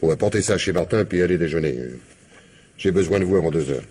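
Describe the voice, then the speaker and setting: A man acting a brisk, weary, wry police commissioner in a French radio crime drama, with a French accent and blunt colloquial delivery. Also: sits low, mellow, husky. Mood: brisk, familiar.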